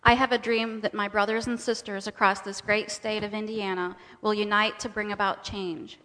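Woman reading a dramatic testimony, medium pitch, lots of hall echo. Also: flat delivery, moderate pace, fairly bright tone. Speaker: speaker in a readers' theater presentation. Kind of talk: rehearsed dramatic testimony read aloud. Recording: echoing hall with long reverb.

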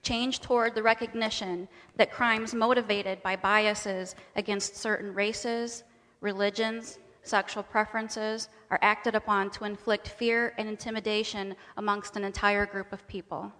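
A woman's voice: medium pitch, level tone, a little slow, fairly bright tone. A woman delivering a rehearsed, dramatic testimony as part of a staged reading. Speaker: speaker in a readers' theater presentation. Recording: echoing hall with long reverb.

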